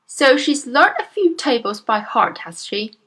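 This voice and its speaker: A woman voicing a huge, bullying female headmistress who barks and shouts with scorn. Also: a little high, big pitch swings, unhurried, full character voice. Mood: bellowing scorn.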